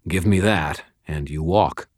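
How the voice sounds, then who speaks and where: A man's voice: a bass voice, unhurried, darkish tone, dry recording. A man playing a dry, tough, wisecracking detective in an audiobook, with a casual, sardonic way of talking.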